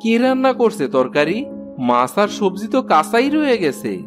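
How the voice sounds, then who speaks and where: A man's voice: high-pitched, sing-song, moderate pace, over music. A man voicing a demanding village farmer in a cartoon, with a rural eastern Bengali accent. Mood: annoyed. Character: demanding village farmer, grown son and husband. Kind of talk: cartoon character acting.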